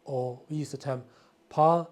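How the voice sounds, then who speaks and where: A man's voice: mid-range voice, steady tone, a little slow, a little mellow. A man hosting a live spiritual question and answer session, explaining ideas patiently and repeating key phrases.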